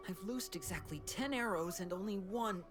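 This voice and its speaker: A woman's voice: medium pitch, normal speed, over music, close to an everyday voice. A woman playing an earnest, hesitant young army trainee in an anime fantasy game, easily discouraged and respectful. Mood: dejected.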